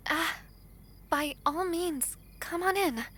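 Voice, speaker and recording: A woman playing a gentle, earnest young princess in a romantic audio roleplay, speaking softly and formally with hesitant pauses. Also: extremely high pitch, exaggerated voice. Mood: polite, gracious.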